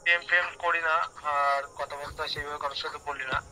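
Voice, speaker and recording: A man's voice: fairly high pitch, level tone, quick pace, bright, forceful tone, slight background noise, low-quality recording. A man in a casual phone conversation, questioning the other person insistently. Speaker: prank call recipient.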